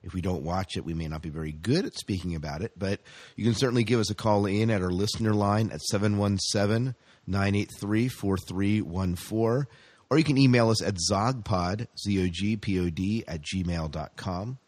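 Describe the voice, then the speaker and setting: Guy with a low voice joking around on a podcast, really dry sound, chatty. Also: moderate pace, dry recording. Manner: joking, bantering, excitable. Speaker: podcast co-host. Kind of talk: casual podcast chat.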